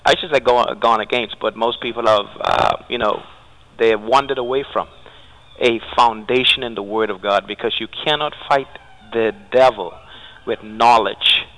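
A man's voice: medium pitch, fairly even intonation, sharp tone. A man calling in as a radio guest, earnestly explaining faith and spiritual battle over a phone line. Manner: earnest, measured, scripture-quoting. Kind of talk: preaching-style explanation.

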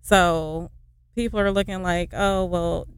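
A woman's voice: lowish voice, fairly even intonation, takes it slowly, clear, resonant tone. A woman who founded a nonprofit, speaking as a radio guest in a hesitant, earnest way with many fillers. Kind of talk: casual radio interview.

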